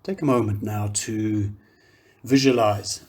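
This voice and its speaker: A man guiding a meditation, speaking calmly and gently in unhurried phrases with long pauses. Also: on the low side. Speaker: meditation guide, yoga teacher. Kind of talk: guided meditation, slow soothing instruction.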